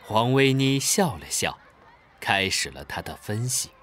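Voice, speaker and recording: A man narrating a children's audio drama in a calm storytelling manner. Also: fairly low pitch, measured pace, faint music behind.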